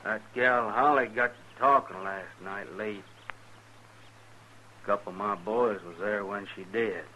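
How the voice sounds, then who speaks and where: A man's voice: on the low side, a little slow, sharp tone. A man playing a defiant cattle drive boss with a folksy, threatening drawl in a 1950s radio western. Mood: defiant, menacing. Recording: noisy 1950s radio recording.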